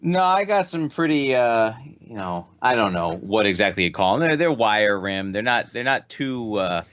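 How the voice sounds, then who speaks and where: A man's voice: fairly low pitch, big pitch swings, moderate pace, fairly bright tone, no room sound. A man in his thirties co-hosting a podcast in casual, conversational political commentary. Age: 30s. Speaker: podcast co-host.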